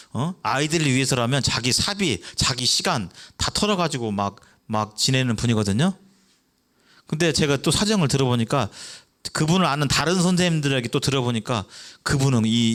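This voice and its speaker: A young man preaching an evangelistic sermon. He keeps asking the congregation questions and answers them himself. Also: a bit bright. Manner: acts out children's voices in a brief high voice.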